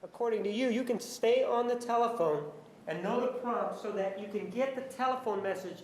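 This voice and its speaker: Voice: mid-range voice, moderate pace. A lawyer cross-examining a witness in a courtroom, putting pointed leading questions that end in short tags. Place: large indoor courtroom. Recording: echoey courtroom, faint background noise.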